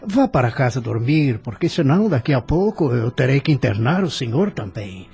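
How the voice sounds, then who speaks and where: An actor playing a kindly, reassuring, gently joking doctor in an audio drama, courteous and persuasive, with a Brazilian accent. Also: male voice, mid-range voice, very animated, moderate pace, gravelly, husky, heavily acted. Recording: music under the voice.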